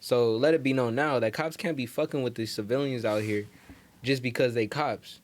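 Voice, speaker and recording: A man's voice: medium pitch, normal speed. Man chatting casually over noisy background. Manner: slangy, profane, repeats phrases for emphasis. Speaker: podcast co-host. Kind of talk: casual chat.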